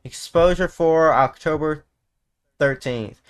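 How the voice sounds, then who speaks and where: A man's voice: on the high side, slow. A man giving informal, slangy reaction commentary as a video host, sometimes reading text aloud. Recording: noticeable background noise.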